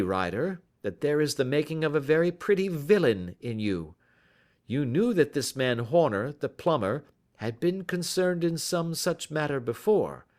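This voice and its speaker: A man voicing a brisk, masterful gentleman detective in an audiobook, speaking with formal precision and dry mockery. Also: a little high, pitched above the performer's own voice. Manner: commanding, precise, dryly mocking, formal. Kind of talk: audiobook character voice.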